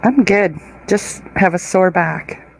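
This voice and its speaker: A woman in a casual voice chat, easygoing and quick to giggle. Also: lowish voice, creaky, low-quality recording.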